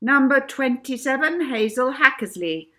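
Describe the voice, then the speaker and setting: A woman voicing a brisk male talent show compere announcing in a children's audiobook. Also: sits high, animated, a bit bright, voice pushed up. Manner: projected announcing.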